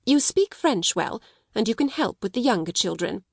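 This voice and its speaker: A woman's voice: mid-range voice, sing-song, moderate pace, creaky, no echo, studio quality. A woman reading the part of a stern, domineering headmistress, speaking coldly and sharply. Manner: cold, sharp, scolding. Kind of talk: audiobook character reading.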